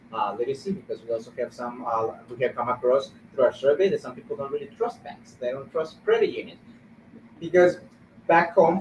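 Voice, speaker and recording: A man speaking informally on a webinar as a community organizer, halting and searching for words. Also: mid-range voice. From Guatemala. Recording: roomy, distant, muffled webinar audio with noise.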